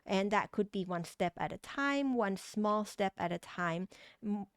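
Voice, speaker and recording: Smooth-voiced woman with a Thai accent. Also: mid-range voice, animated, unhurried, dry recording, clean studio sound. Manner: gentle, meandering, with frequent restarts and fillers. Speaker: tarot reader. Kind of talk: pick-a-card tarot reading.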